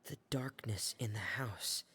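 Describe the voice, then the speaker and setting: A man's voice: lowish voice, measured pace, bright, forceful tone, slight background noise. Shy, hesitant boy. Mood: uneasy. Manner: hesitant, subdued. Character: shy, soft-spoken adolescent boy, withdrawn and uneasy. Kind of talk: video game voice acting.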